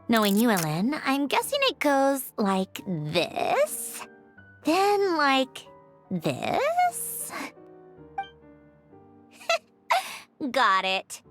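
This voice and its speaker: Young female inventor for a video game: brainy, nonchalant, quietly wistful, with lively pitch movement over background music. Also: drawn-out words, exaggerated voice. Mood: curious, wry. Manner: wry, matter-of-fact, reflective. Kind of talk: video game character dialogue.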